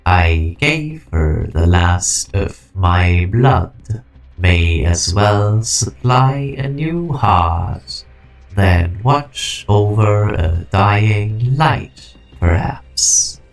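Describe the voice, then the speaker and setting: A man playing an ancient, weary guardian being, solemn and resolute, in a fan-dubbed game story with a grave, halting delivery. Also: fairly low pitch, expressive, heavily acted. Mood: solemn, resigned. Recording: background music.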